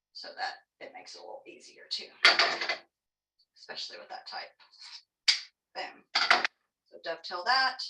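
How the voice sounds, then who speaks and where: A woman's voice: fairly low pitch, sharp tone, roomy sound, distant mic, poor audio. A woman with a Texan accent teaching a live online craft class in a chatty, folksy way, joking about herself as she works. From Texas.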